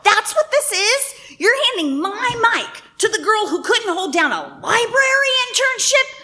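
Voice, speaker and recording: A woman's voice: very high voice, big pitch swings, a little slow, sharp tone, heavily acted. A woman playing a bitter, jealous radio host in a radio drama, theatrical and pointed in her delivery. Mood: indignant, bitter. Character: bitter, insinuating female radio host, jealous older ex-wife. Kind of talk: dramatic radio play acting.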